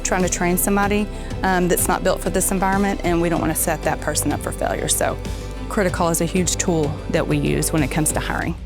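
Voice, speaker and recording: A woman's voice: on the low side, flat delivery, fast talker. A woman explaining hiring practice in an interview, as a manager. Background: background music.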